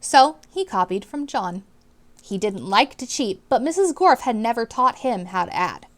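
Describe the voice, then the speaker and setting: Casting: female teacher for children's read-aloud, lively pitch movement, dramatic emphasis, speaks directly to young listeners. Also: moderate pace. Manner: animated, dramatic emphasis on key words.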